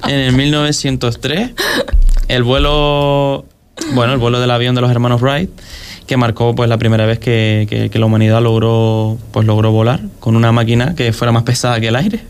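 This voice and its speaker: A man's voice: mid-range voice, little pitch movement, moderate pace, a little hiss or hum. A man co-hosting a local radio show, talking in an easygoing, joking way. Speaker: radio co-host. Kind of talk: casual radio chat.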